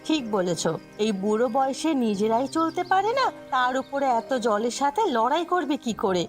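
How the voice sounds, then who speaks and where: A woman's voice: high voice, lively intonation, normal speed. A woman playing a practical, worried village wife in a cartoon, with an anxious, cautioning manner. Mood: concerned, cautious. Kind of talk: Bengali moral-story cartoon dubbing. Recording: music behind the voice.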